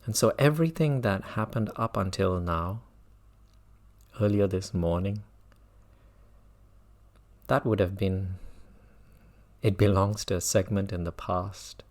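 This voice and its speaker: A man guiding a mindfulness meditation as a teacher, speaking in measured phrases with long pauses and repetition. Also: low-pitched, darkish tone.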